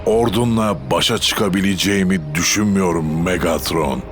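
Deep, grave robot hero. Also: male voice, normal speed. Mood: resolute. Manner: grave, stoic. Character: noble, solemn, resolute heroic robot leader. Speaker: voice actor in a dubbed animated series. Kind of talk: dramatic cartoon dub acting. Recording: very loud background music.

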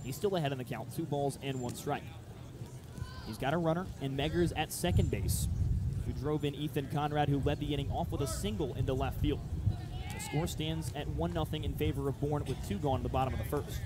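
A man's voice: a little high, a little fast, very noisy. A man doing live baseball radio play-by-play in short, descriptive phrases. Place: outdoor ballpark broadcast position.

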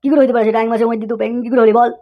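A high, hurried voice performed by a woman for an exasperated young cartoon husband. He blusters and scolds with a short temper, and the recording is dull and lo-fi. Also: flat delivery, close to an everyday voice. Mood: accusing. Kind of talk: comic cartoon dialogue. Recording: dull lo-fi sound.

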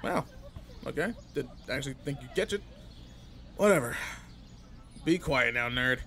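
A man's voice: mid-range voice, expressive, measured pace, sharp tone, slight background noise. A man playing a cocky, irritable teenage delinquent in a roleplay audio drama, talking casually and teasingly straight to the listener. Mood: teasing, grudging.

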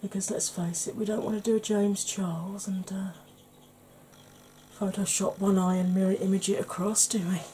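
Soft-whispering Welsh woman, mellow voice. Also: medium pitch, flat delivery, moderate pace, a little hiss or hum. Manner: soft, often whispered, chatty and mildly sarcastic. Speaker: beauty YouTuber. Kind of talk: ASMR makeup tutorial. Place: indoors at home, face in close-up.

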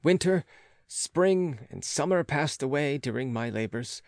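Measured male audiobook narrator, dry close sound. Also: mid-range voice, no echo. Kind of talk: scripted literary narration of a classic gothic novel.

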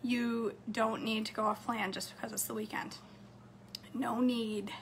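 A woman, chatting casually to her viewers from a home kitchen, slightly hoarse. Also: on the high side, level tone, measured pace, slight background noise. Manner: chatty, upbeat, talks straight to camera. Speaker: cooking vlogger. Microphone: phone camera close up on a counter.

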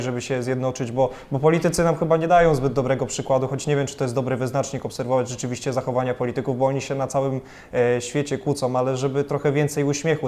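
A man's voice: mid-range voice, darkish tone. A young man interviewing a guest, asking long, rambling questions with frequent restarts and fillers, on a lapel mic in a studio.

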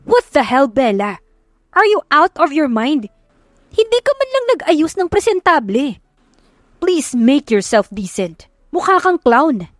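A woman's voice: high-pitched, sing-song, unhurried, no echo, clean studio sound, voice pushed up. A woman voicing an irritable, scornful husband with a curt, scolding manner in an audio love story. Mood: angry, scornful. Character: irritable, scornful husband, impatient adult man.

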